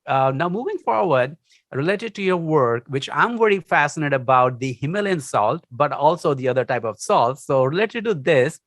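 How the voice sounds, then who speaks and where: A man's voice: a little high, a bit bright, no room sound, studio quality. A man hosting an interview, heaping formal, effusive praise on his guest's answers before asking the next question. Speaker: interview host.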